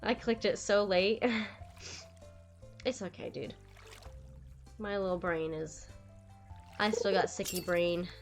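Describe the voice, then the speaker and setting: A woman's voice: fairly low pitch, slow pace, pauses often, faint music behind. A young woman streaming a game, chatting casually with viewers while stuffed up and nasal from a cold. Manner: chatty, rambling, lively. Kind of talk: casual live-stream chat while gaming.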